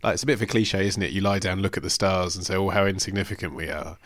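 A man's voice: sits low, fairly even intonation, quick pace, a bit bright, dry recording, clean studio sound. A man hosting an interview, asking reflective questions and thinking aloud with tag questions.

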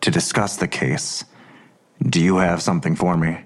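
A man's voice: deep voice, fairly even intonation, normal speed, soft background music. An actor playing a somber, guarded police detective and worried father in an audio drama, speaking tersely. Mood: curt, distrustful.

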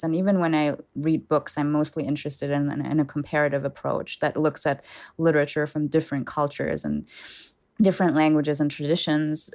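A young woman poet who grew up in Germany, with German as her first language, speaking in a measured, thoughtful way and pausing to search for words. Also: low-pitched, darkish tone, no room sound. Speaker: poet guest. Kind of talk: reflective conversation.

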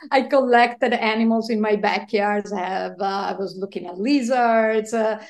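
A woman with an Italian accent talks about her work in a conversational interview, pausing often with filler sounds. Her voice carries a noticeable roomy echo. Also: medium pitch. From Italy. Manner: halting with many fillers, laughs softly. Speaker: guest, marine biologist.

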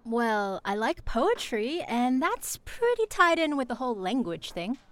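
A woman's voice: a little high, sing-song, a little slow, fairly bright tone. A woman voicing a young newcomer reporter, chipper and self-deprecating, in an audio drama. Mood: upbeat. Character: young woman reporter, new in town, upbeat. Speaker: journalist.

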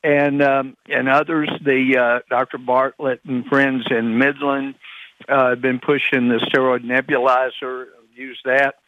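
A man from East Texas, slightly raspy, chatting in a folksy way full of fillers as a guest. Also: medium pitch, little pitch movement, unhurried, fairly bright tone, dry recording. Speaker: congressman guest. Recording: dull lo-fi line.

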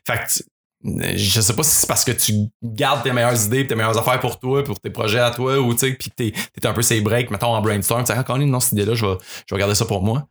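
A man's voice: mid-range voice, steady tone, fast, a bit bright. A young man with a Québécois accent, a radio writer chatting as a podcast guest, rambling with many fillers and restarts. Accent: Québécois French. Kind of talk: casual podcast chat.

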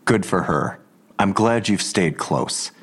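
A menacing police detective in an audio drama. The voice is low, and the questioning is controlled and unhurried. He taunts coolly and insinuates. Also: lively intonation, slightly rough, heavily acted. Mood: cool, probing.

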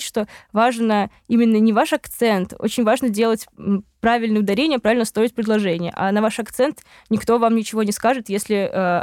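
Young woman, interviewee, hesitant, many fillers, medium pitch, dry close mic. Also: darkish tone, no room sound. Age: young adult. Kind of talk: interview answer.

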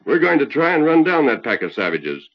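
Masked cowboy hero, firm and formal, very animated pitch, muffled old radio sound. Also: male voice, fairly quick. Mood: firm, defiant. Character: resolute masked cowboy hero, calm, commanding and principled. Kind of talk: old-time radio western acting.

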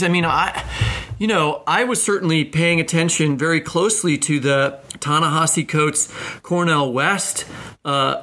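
A man's voice: on the high side, pushed and bright. A man hosting a podcast discussion, talking casually in a rambling way and often checking for agreement. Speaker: podcast host.

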